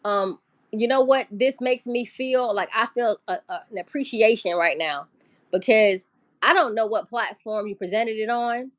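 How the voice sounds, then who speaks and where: A woman's voice: on the high side, moderate pace, a bit bright, dry recording, clean studio sound. Chatty woman hosting a livestream. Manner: chatty, swears freely, greets chat. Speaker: livestream host. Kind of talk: casual livestream chat.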